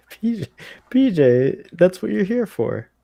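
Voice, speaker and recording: A man hosting a casual watch-along chat, easygoing and quick to laugh, sometimes searching for words. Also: high voice, very animated, creaky, dark tone, no room sound. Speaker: show host.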